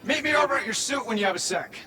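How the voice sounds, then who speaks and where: A man's voice: very high-pitched, animated, racing, loud music behind the voice. An actor playing a cocky, eccentric male artificial intelligence engineer in a video game, brash, theatrical and rambling. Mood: brash, excited.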